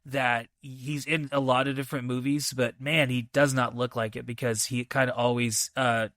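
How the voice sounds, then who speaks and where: A man hosting a podcast, casually reviewing a film in a halting, thinking-aloud way with enthusiastic, repeated emphasis. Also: medium pitch, level tone, a bit bright, dry recording, clean studio sound. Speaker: movie review podcast host.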